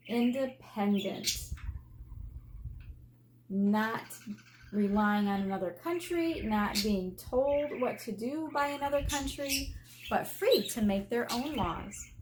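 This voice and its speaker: A woman's voice: on the high side, slight background noise. An American woman, an elementary teacher, reading a children's book aloud and explaining it in simple, instructive terms.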